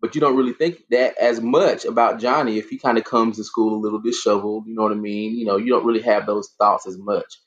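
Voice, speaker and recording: A man speaking thoughtfully in a casual panel discussion, pausing often with fillers. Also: on the low side, fairly even intonation, highs cut off. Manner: thoughtful, reflective, lots of fillers.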